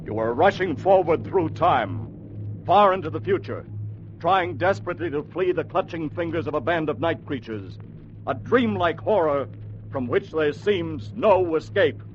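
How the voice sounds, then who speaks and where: An actor playing a dramatic adventure storyteller, grave, urgent and theatrical, in 1950s radio drama narration style. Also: male voice, a little high, expressive, normal speed, faint music behind, natural voice. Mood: ominous, grand. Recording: muffled, noisy vintage radio recording.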